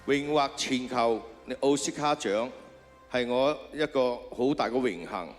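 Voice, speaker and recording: A man's voice: medium pitch, measured pace, long reverb tail, faint music behind. Hesitant man. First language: Cantonese. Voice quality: hesitant, many fillers. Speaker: award recipient. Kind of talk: halting acceptance speech.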